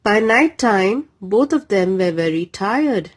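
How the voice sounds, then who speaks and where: A woman reading a bedtime story aloud for young children in a deliberate, expressive way. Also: medium pitch.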